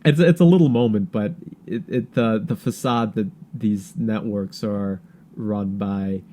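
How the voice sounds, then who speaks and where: Mellow low-voiced man, halting delivery. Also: a little hiss or hum. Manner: halting, repeats words while searching for thoughts. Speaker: podcast co-host. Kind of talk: casual political chat.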